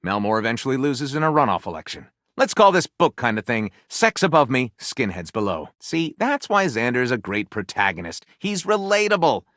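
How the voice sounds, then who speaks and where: A man's voice: medium pitch, big pitch swings, fairly quick, few pauses, fairly bright tone, dry recording, clean studio sound. A man voicing a grandiose, lewd, self-satisfied storyteller character in a video game, with wry, sarcastic asides. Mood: playfully pompous.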